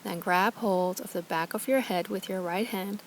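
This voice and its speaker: Woman, yoga teacher, calm, gentle, soothing, short guiding phrases, medium pitch, light background ambience. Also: normal speed, a little hiss or hum, poor audio. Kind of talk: guided yoga instruction.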